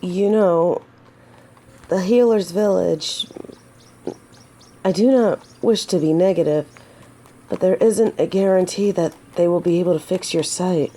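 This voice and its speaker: A woman's voice: on the low side, a little slow, pauses often, dark tone. A woman playing a gallant, teasing yet earnest female knight in a romantic fantasy audio drama, with formal, old-fashioned phrasing. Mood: gentle, cautious.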